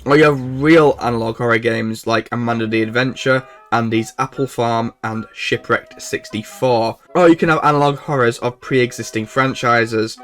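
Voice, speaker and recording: A man's voice: mid-range voice, fairly even intonation, moderate pace, hardly any pauses, a bit bright. A man hosting a video essay, reading informal scripted narration. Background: background music.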